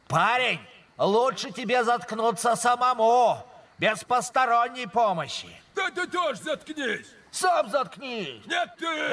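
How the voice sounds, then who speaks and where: An actor playing a quarrelsome, gruff little pirate in a cartoon, with a creaky voice. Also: male voice, very high-pitched, a bit bright, exaggerated voice. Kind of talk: animated cartoon dialogue.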